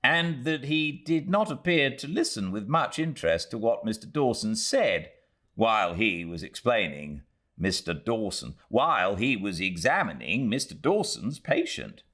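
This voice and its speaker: Deep-voiced British man narrating, expressively varied. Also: very animated, a little slow, fairly bright tone. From Britain. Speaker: audiobook narrator. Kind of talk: literary audiobook narration.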